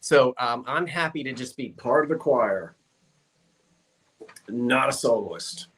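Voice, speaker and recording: A man's voice: medium pitch, lively intonation, slow, a bit bright, breathy edge, rough recording. A man hosting a live-stream glass sale, chatting casually with viewers, with lots of fillers and self-corrections. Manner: chatty, rambling, reads out viewer comments. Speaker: live-stream auction host.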